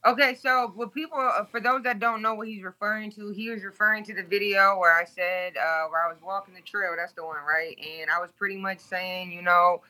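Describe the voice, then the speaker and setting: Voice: medium pitch, steady tone, brisk, hardly any pauses, pushed and bright, low-quality recording. A guest from Toledo, Ohio, speaking bluntly and candidly in an interview, swearing freely.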